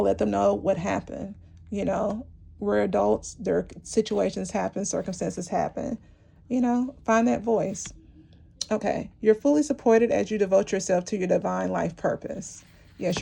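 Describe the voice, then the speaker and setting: A woman giving a rambling, encouraging tarot reading to her viewers, her voice worn and going hoarse, with many fillers and restarts. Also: mid-range voice, mellow. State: losing her voice, clearing her throat. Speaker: tarot reader. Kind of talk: intuitive tarot reading to camera.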